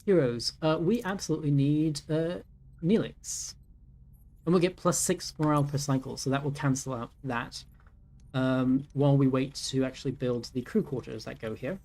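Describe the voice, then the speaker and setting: A man's voice: medium pitch, normal speed, a little mellow. A man in his thirties giving casual let's-play commentary, thinking aloud with many ums and self-corrections. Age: 30s. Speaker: gaming YouTuber. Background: faint game music.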